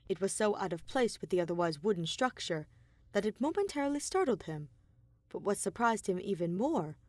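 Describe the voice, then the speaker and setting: A woman narrates a story in an audiobook style. Her voice sits at a medium pitch, expressive and deliberate, in a very dry recording with little room sound. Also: dry recording. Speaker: podfic narrator. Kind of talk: scripted fiction narration, audiobook reading.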